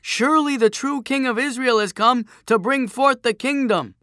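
A man puts on an excitable woman crying out in religious fervour for an audiobook. The voice leaps very high and is loud, bright and shrill. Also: normal speed, dry recording, clean studio sound. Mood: ecstatic. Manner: shrill, fervent shouting. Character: excitable woman in crowd, fervent believer.

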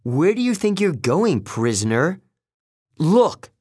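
Man voicing a bossy, high-pitched teenage demigod girl. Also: very animated, a little slow, husky, no echo, studio quality, heavily acted. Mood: smug, commanding.

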